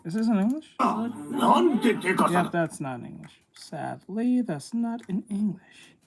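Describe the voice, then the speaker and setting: A woman artist chatting casually while she draws, reacting with quick exclamations and plenty of swearing. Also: medium pitch, sing-song, gravelly, mellow. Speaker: artist streaming while drawing. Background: music.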